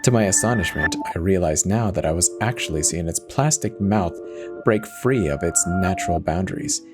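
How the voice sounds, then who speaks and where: A man's voice: deep voice, animated, moderate pace. A man reading a first-person horror story as a dramatic scripted narration. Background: music.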